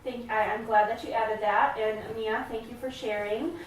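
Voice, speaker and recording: A very echoey recording with faint noise carries a supportive family therapist, played by a woman. Her voice sits on the high side. She validates gently and hesitates often as she leads a role-played session. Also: steady tone, normal speed, close to an everyday voice. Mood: calm, supportive. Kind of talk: improvised therapy role-play. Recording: echoey room, distant mic, faint noise.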